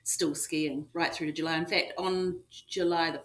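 Woman, middle-aged, New Zealand accent, slightly low pitch, rambling casual chat, lots of ums. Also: steady tone, fairly bright tone. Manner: chatty, rambling, pauses with um and you know. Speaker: ski resort general manager. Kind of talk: casual interview answers.

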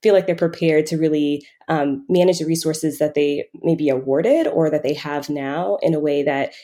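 Low, mellow-voiced woman thinking aloud. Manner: halting, thinking aloud, frequent hedging with "kind of" and "like". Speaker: podcast guest, financial coach. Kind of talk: reflective conversational interview answer.

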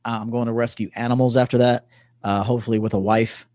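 A man's voice: medium pitch, level tone, quick pace, darkish tone, no echo, clean studio sound. A man in his early forties talking casually and emphatically as a guest coach in an interview. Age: early 40s. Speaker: podcast guest, men's coach. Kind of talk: casual conversational interview talk.

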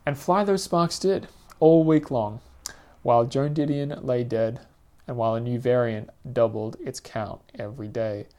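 A man reads his own personal essay aloud in a reflective, literary and measured manner. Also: mid-range voice, dark tone. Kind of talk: scripted personal essay narration.

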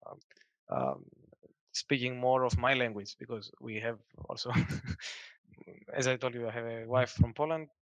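A man's voice: mid-range voice, expressive, measured pace, dry recording, low-quality recording. A man in his thirties, a Greek native speaker, answering interview questions in a hesitant way with many fillers and restarts. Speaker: guest, software developer. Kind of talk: casual interview.

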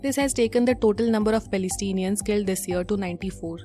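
A woman's voice: mid-range voice, fast, mellow, soft background music. A woman with an Indian accent reading a scripted news bulletin as presenter.

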